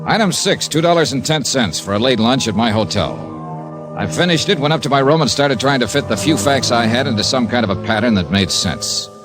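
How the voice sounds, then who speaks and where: An actor playing a cool, wry freelance insurance investigator in an old-time radio detective drama. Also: male voice, medium pitch, big pitch swings, fairly quick, music in the background, natural voice. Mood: narrating crisply. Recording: vintage radio broadcast recording.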